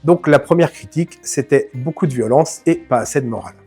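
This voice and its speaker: A man's voice: mid-range voice, brisk, a little mellow, husky, soft background music. A man hosting a film channel, talking through a classic film in a casual, informal way, joking at his own expense. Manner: chatty, addresses viewer informally, self-mocking asides. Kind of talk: casual film analysis to camera.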